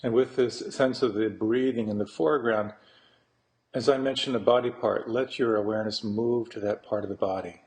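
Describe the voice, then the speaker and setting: Low-pitched man guiding a meditation. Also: a little mellow. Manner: slow, deliberate, with long pauses between phrases. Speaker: meditation teacher. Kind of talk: guided meditation instruction.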